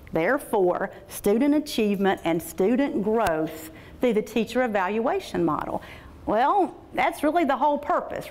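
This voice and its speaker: Lively woman addressing teachers. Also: on the high side. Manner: energetic, folksy, animated gestures. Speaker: school administrator. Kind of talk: staff training talk. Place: large meeting room with tables. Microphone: lapel mics.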